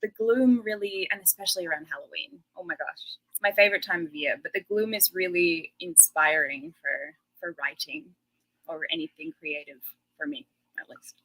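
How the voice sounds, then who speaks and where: A woman's voice: lowish voice, normal speed, bright, forceful tone, poor audio. An Australian woman in her thirties, a guest chatting casually and laughing often. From Western Australia. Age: 30s. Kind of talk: casual interview chat.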